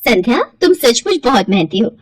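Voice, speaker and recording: A businesslike female salon owner voiced by a woman narrator, brisk and approving. The voice is fairly high, with a dry studio sound, as in a cartoon tale. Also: big pitch swings, slightly rough, dry recording, recorded from a distance. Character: appreciative, businesslike beauty parlour owner boss.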